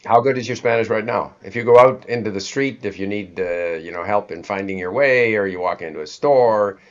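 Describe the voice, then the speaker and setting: A man's voice: fairly low pitch, fairly quick. A man with a Canadian accent hosting a conversational interview, thinking aloud in a discursive way. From born in Sweden, raised in Canada. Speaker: host interviewing a guest. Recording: dull, lo-fi audio.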